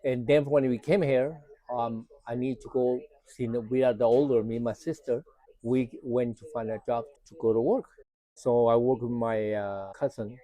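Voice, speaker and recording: A man's voice: mid-range voice, steady tone, moderate pace, warm tone, dry recording. Spanish-accented man in a dry room. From Uruguay. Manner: plain, loose English grammar, frequent uh. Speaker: restaurant co-owner. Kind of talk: casual interview answers. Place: restaurant.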